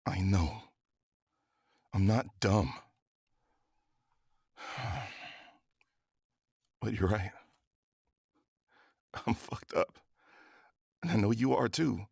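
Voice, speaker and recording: Bitter, loyal young man: gravelly, slow, subdued intensity, dry close recording. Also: fairly low pitch, a little mellow, dry recording, exaggerated voice. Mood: pained, resolute. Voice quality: husky, gravelly. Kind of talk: horror audio drama acting.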